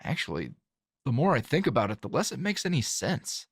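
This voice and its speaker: A man playing a calm, dry, teasing hero and coworker in an intimate ASMR roleplay, talking in a low-key, halting, conversational way. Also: vocal fry, no echo. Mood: reassuring.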